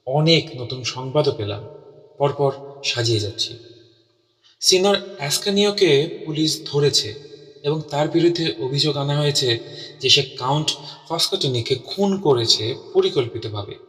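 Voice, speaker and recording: Man as bright-voiced, earnest detective's companion narrating. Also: medium pitch. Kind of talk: dramatic first-person audio story narration. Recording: distant voice in long hall reverb.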